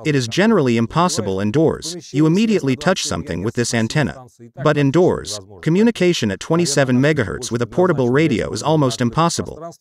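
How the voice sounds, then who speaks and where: A middle-aged man with a Russian accent giving a scripted, matter-of-fact technical explanation as a presenter of radio reviews. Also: mid-range voice. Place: small dim room with computer desk.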